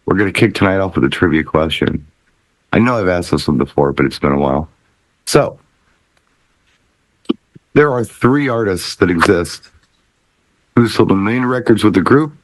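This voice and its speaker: A man's voice: low-pitched, animated, normal speed, long pauses, no room sound, poor audio. A middle-aged man hosting a casual music panel chat with trivia questions. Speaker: host of a music talk show.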